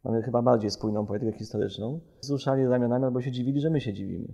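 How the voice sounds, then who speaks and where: A man's voice: medium pitch, racing, vocal fry, mellow, dull audio. A man from Poland speaking as a researcher in a podcast, discursive, building his arguments in long chains.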